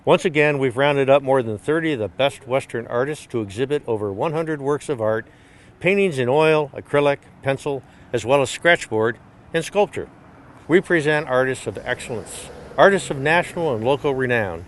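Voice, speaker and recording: A man's voice: medium pitch, lively intonation, normal speed. An older man reading scripted promotional narration as a gallery owner. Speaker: gallery owner presenting an event.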